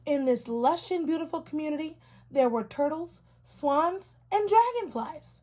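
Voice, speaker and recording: A woman reading a children's story aloud in an expressive, deliberate storytelling style for young children. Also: high voice.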